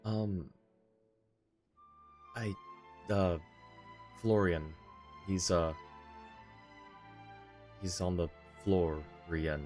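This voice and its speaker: A man's voice: deep voice, very slow, long pauses, darkish tone. A man streaming a game live, reacting casually in an amused, informal way. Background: game music.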